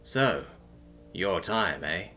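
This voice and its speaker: A man voicing a kind young male soldier in an audiobook, speaking in a soothing, measured way with gentle concern. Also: sits low, sing-song, soft background music, exaggerated voice.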